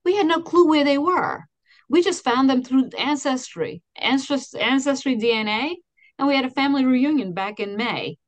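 Woman in her fifties from Queens, chatty and animated on a video call, dry sound. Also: sits high, normal speed, no echo. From Astoria, Queens, New York. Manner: animated storytelling, many restarts and "you know". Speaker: guest. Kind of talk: casual conversation. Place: home office with framed certificates.

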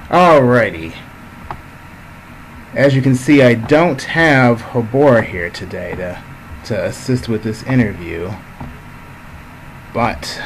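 Rambling, excitable male interview host. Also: mid-range voice, gravelly, a little hiss or hum, rough recording. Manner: rambling, filler-heavy, excitable fan. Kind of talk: casual interview chat.

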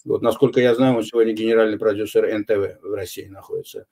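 Older man, low mellow voice, deliberate interview answers full of pauses. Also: steady tone, creaky. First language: Azerbaijani. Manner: measured, unhurried, frequent hesitations. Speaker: interview guest. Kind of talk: recorded online interview answers.

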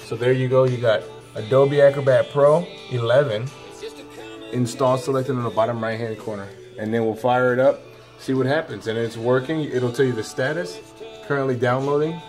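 Upbeat man giving a classroom software demo. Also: medium pitch, fairly even intonation, moderate pace, faint music behind. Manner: upbeat, folksy, steps through clicks aloud. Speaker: teacher leading a staff tech demo. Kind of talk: casual classroom screen demo. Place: school classroom with computers.

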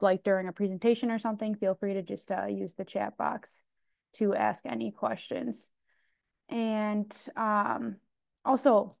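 A woman's voice: mid-range voice, vocal fry, smooth, no echo, studio quality. A young woman hosting a video call meeting as outreach coordinator, upbeat and welcoming with frequent ums. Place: dim room indoors. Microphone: earbuds on video call.

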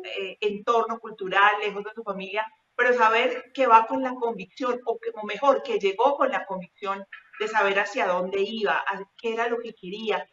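A woman's voice: medium pitch, a bit bright. A woman hosting a news interview over a video call, speaking formally and effusively in long phrases. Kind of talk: interview host remarks. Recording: distant, echoey, dull video-call audio.

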